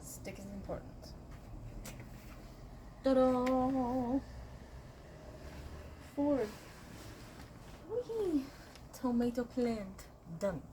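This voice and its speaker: A woman's voice: high-pitched, expressive, very slow, pauses often, warm tone, slight background noise, poor audio. A young woman from Sweden, chatting casually and playfully to her viewers while gardening outdoors, talking to herself and humming as she works. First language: Swedish. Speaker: gardening vlogger.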